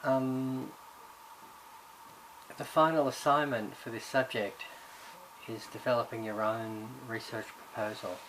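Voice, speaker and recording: A man's voice: mid-range voice, flat delivery, slow pace, a bit bright, low-quality recording. A man from Ireland, raised in Australia, giving a recorded course introduction as subject coordinator, talking hesitantly with many fillers and restarts. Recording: background noise.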